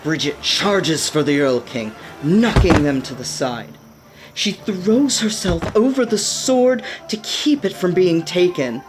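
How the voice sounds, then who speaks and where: A woman's voice: fairly low pitch, lively intonation, slow pace. A woman reading scripted narration for a radio play, describing the action as narrator. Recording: music behind the narration. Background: background music.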